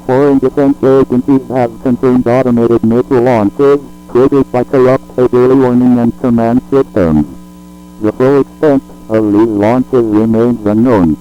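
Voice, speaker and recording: Robotic tts guy reading an emergency broadcast, formal and flat, muffled lo-fi sound w music under it. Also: medium pitch. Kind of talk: scripted emergency alert announcement. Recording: dull lo-fi audio with music.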